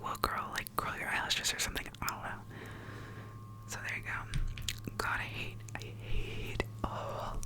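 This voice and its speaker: A young woman whispering in her apartment, chatting casually as she tries out makeup, with lots of "like" and the odd swear word. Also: low-quality recording. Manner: whispers the whole time. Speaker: ASMR vlogger. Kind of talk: whispered ASMR makeup chat.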